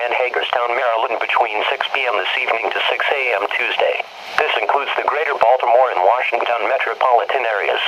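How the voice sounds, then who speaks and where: A man reading a scripted weather bulletin as a radio announcer, in a formal, impersonal and measured way. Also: mid-range voice. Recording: noisy weather radio broadcast.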